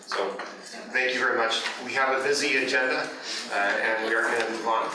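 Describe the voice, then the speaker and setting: A man chairing a school board meeting, halting with many fillers and restarts. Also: medium pitch, steady tone. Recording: echoey room from far off, crowd.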